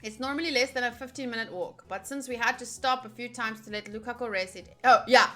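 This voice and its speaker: Woman, high sharp voice, excitable streamer commentary, reading game text, music behind. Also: fairly quick. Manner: excitable, giggly, animated reactions. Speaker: streamer playing through a visual novel.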